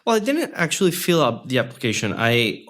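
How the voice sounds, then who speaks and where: A man's voice: fairly high pitch, big pitch swings, brisk, fairly bright tone. A young man whose first language is Spanish, a podcast interview guest speaking in a friendly, reflective way, often restarting his sentences. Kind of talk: casual interview conversation.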